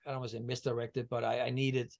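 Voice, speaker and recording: A man's voice: mid-range voice, level tone, fast, vocal fry, a little mellow. A man from Denmark, a guest on a video-call interview, talking about energy healing in a meandering way with many fillers and restarts. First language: Danish.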